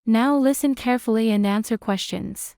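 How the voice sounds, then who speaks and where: Creaky-voiced woman giving exam instructions. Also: a little high, moderate pace, dark tone, slight background noise. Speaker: test instructor.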